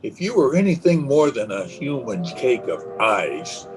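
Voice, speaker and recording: Man as guilty nervous husband, tremulous, brooding, drawn-out pace, old-time radio drama, music underneath. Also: medium pitch, very animated. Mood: anguished, pleading. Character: nervous, guilt-ridden husband who killed his wife. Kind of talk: 1930s radio melodrama acting.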